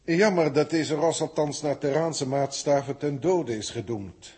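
An actor playing a detached alien senior proctor who reports on human test subjects in a formal, measured way, in an early 1960s radio drama. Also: male voice, fairly high pitch, a little mellow, breathy edge. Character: cold alien overseer testing humans, middle-aged man.

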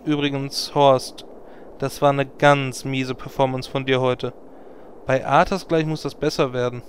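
A man's voice: mid-range voice, flat delivery, unhurried, a little hiss or hum, close to an everyday voice. A man playing a bossy, grumbling leader of fantasy heroes in a parody audio drama, blunt, sarcastic and commanding. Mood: reproachful. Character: bossy, grumbling male leader of a hero party.

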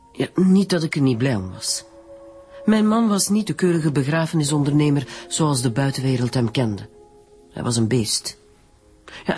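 An actress with a Flemish accent playing a bitter widow making a confession in a radio drama. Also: female voice, low voice, dark tone. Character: bitter, confessing middle-aged widow of an undertaker.

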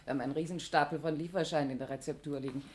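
An actress playing a chatty, weary pharmacy assistant in a 1960s German radio crime drama. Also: female voice, sits low, steady tone, racing, slight background noise, natural voice.

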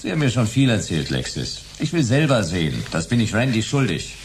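A hard-boiled male detective with a low voice, laconic and suspicious, putting dry, probing questions. The radio play has clear noise behind him. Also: very animated, a little fast. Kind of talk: radio drama acting.

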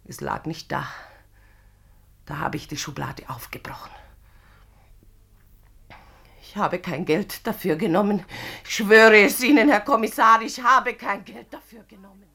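Secretary: lovelorn and wounded, breathy mid-range voice, halting confession, 1950s radio crime play. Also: female voice, medium pitch, bright, forceful tone, full character voice. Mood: hushed confession, then desperate. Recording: 1950s radio drama recording.

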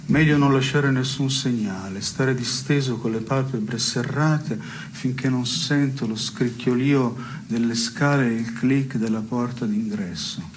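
An actor playing a melancholy, self-ironic man in a radio theatre piece, speaking in a hushed, deliberate, literary way. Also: mid-range voice, natural voice. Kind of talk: avant-garde radio theatre dialogue. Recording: noisy recording with chirping cricket sounds.

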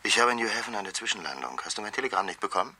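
An actor playing an evasive, soothing but dismissive husband over the telephone in a radio thriller. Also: male voice, lowish voice, animated, rapid-fire, background noise. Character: smooth, evasive husband calling his frightened wife. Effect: telephone filter. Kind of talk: 1970s German radio thriller acting.

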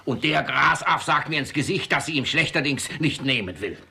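Husky, blustering old miser father. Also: on the high side, expressive, normal speed, pushed and bright, exaggerated voice. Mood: self-important. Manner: blustering, repeats words insistently. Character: miserly, domineering old father, stubborn and suspicious. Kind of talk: classic stage comedy radio acting.

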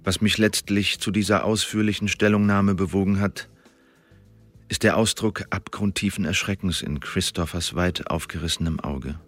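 An actor playing a formal, self-justifying witness who gives a precise, emphatic statement about a killing in a radio drama. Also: male voice, sits low, fairly even intonation, moderate pace, fairly bright tone, faint music behind. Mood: grave, shaken. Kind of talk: scripted radio drama monologue, written statement read out.

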